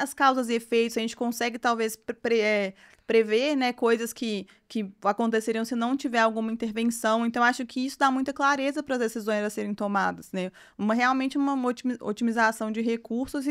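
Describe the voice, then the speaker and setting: A woman's voice: fairly high pitch, fairly bright tone, clear, resonant tone. A woman, a guest in a podcast interview, explaining at length and tagging nearly every phrase with a filler. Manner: rambling, frequent "né" tags. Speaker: guest engineer.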